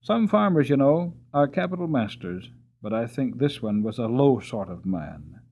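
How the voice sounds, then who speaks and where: A man's voice: mid-range voice, big pitch swings, moderate pace, warm tone, dull audio. A man voicing a troubled, sweet-tempered mare in a storybook, explaining herself plaintively and earnestly. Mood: wistful, earnest.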